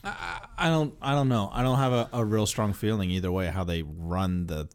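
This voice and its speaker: A man's voice: lowish voice, brisk, smooth, dry recording. A man co-hosting a podcast, giving his opinions in casual conversation. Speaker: podcast co-host.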